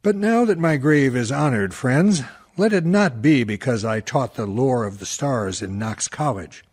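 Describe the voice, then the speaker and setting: A man playing a proud, mocked small-town professor, declaiming earnestly in a husky voice in a radio play. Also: medium pitch, animated, a little slow, darkish tone. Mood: solemn pride. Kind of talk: dramatic monologue for radio play.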